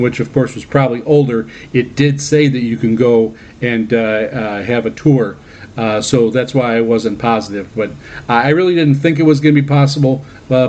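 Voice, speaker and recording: A man hosting a hobby radio program in a casual monologue, speaking haltingly with many uhs and repeated words. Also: medium pitch, a little mellow. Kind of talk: casual radio monologue recounting personal trips. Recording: dull, lo-fi band-limited audio.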